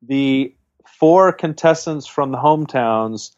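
A man's voice: mid-range voice, slow, a little mellow. A man co-hosting a podcast, recapping a reality dating show in casual chat and often restarting his sentences. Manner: rambling, restarts mid-sentence. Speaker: podcast co-host. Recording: dull lo-fi sound.

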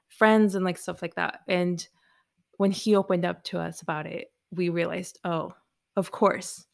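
A woman's voice: lowish voice, creaky. A woman whose first language was Spanish, talking casually and rambling with lots of "like"s. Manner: rambling, many "like"s. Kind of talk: casual group chat.

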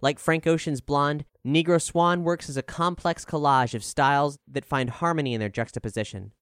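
A man reads a written music review as narrator. His voice is smooth and clean, recorded very dry and close, and his delivery is measured and articulate, with a formal turn of phrase. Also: fairly high pitch, a bit bright, dry recording. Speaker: narrator reading an album review.

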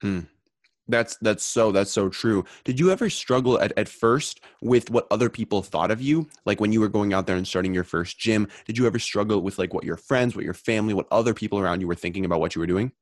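A man from Wisconsin hosting an interview, asking gentle, soft-spoken follow-up questions and giving quiet affirmations. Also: on the low side, fast, dry recording. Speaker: podcast host. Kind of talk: interviewing a guest. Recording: dry close-mic sound.

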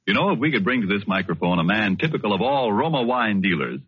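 Animated man announcing on 1940s radio. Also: on the low side, fast talker, slightly rough, no room sound. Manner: polished, emphatic salesmanship. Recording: muffled, narrow old 1940s radio recording.

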